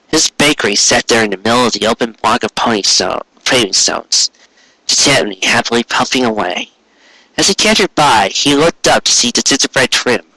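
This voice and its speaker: A man's voice: mid-range voice, pushed and bright, dry recording, low-quality recording. A man reading a scripted story aloud as an audiobook narrator. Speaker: audiobook reader.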